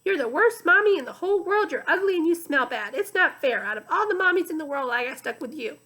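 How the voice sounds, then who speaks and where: A sneering male villain from a children's storybook, read by a woman. He is cruel and mocking, and his voice sits high and bright. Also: expressive, quick pace. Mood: mock childish.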